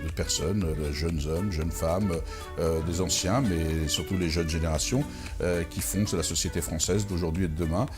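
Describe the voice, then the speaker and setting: A man hosting a talk show and questioning his guest, his voice sitting on the low side. He stumbles through his phrasing, repeating words and filling gaps. Faint music plays underneath. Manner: halting, repeats words and fills with euh. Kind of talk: interviewing a guest.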